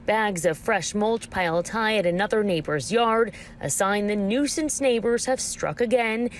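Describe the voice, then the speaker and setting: A woman's voice: mid-range voice, unhurried. American woman reporting news, some background noise. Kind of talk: scripted news report narration.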